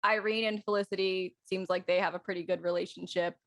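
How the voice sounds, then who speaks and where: A woman's voice: lowish voice, fairly even intonation, fast talker. A woman, a voice actor on a panel, chatting casually and speculating. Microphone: close mic on a video call.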